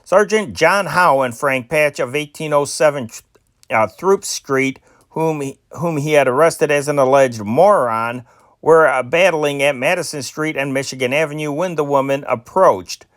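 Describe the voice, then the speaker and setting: A man's voice: fairly high pitch, unhurried, a bit bright, no echo, clean studio sound. A man reading old newspaper stories aloud as an amateur news reader, stumbling and correcting himself now and then.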